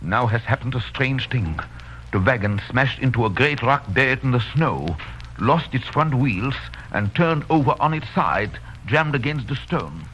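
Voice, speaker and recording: An actor playing an authoritative doctor hunting a vampire, intense and declamatory in 1930s radio style. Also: male voice, medium pitch, expressive, measured pace, a bit bright. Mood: tense urgency. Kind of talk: 1930s radio drama acting. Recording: dull, noisy vintage radio recording.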